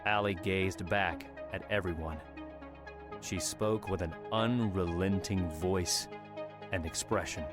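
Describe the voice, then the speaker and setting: A man narrating an audiobook in a measured, dramatic way. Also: low-pitched. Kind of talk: scripted narration. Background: music score.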